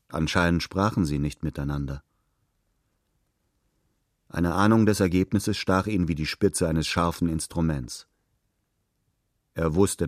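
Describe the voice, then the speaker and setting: A man with a deep voice narrates literary fiction from a script. The recording is very dry, and he delivers it as a storyteller reading prose. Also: a little mellow, dry recording.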